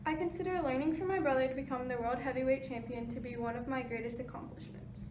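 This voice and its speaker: Casting: woman playing 1920s boxing champ in mock interview, high voice, scripted answers, distant in an echoey room. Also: steady tone, fast talker, background noise, close to an everyday voice. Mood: matter-of-fact.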